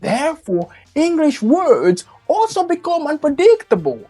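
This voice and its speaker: A man teaching English in a slow, measured and emphatic way, recorded from a distance. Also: very high voice, wildly swooping pitch, mellow, faint music behind. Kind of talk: slow, deliberate lecture.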